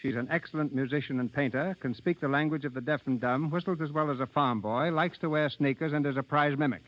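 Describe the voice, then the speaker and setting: Formal 1930s radio host, higher-pitched man. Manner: formal, measured announcing. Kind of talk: 1930s scripted radio narration. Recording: muffled 1930s radio broadcast.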